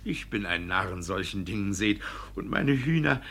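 A man's voice: low-pitched, lively intonation, normal speed, fairly bright tone, full character voice. A man playing a shifty, flustered, blustering village judge in a classical verse radio drama, stammering and pompous. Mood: flustered, evasive.

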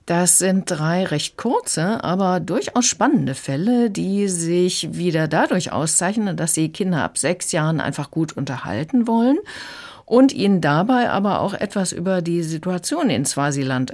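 A woman's voice: on the low side, expressive, moderate pace, darkish tone. A woman with a standard German accent giving a prepared, conversational review as a radio critic. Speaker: audiobook reviewer in studio conversation.

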